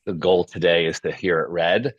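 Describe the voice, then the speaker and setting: Male host and script narrator, deep voice, informal delivery, dry sound. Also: fairly even intonation, moderate pace, slightly rough, no echo, muffled recording. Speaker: host and stage-direction reader. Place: video call.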